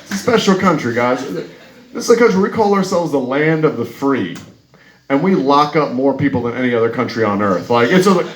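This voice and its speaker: A man's voice: medium pitch, lively intonation, fairly quick. Echoey live American male stand-up comic. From United States. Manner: conversational, wry, punctuated by "you know". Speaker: stand-up comedian. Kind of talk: live stand-up comedy set. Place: live comedy venue in Munich. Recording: echoey live room with clear noise.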